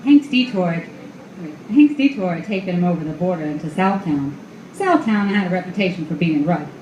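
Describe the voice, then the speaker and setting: A brooding, haunting noir female voice acted by a woman tells a radio-play story. It is lowish and mellow, heard in a dull, echoey recording. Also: expressive, moderate pace, close to an everyday voice. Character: story voices: narrator, alluring ghostly woman. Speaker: actress playing narrator and side parts. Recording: dull, echoey live recording, faint noise.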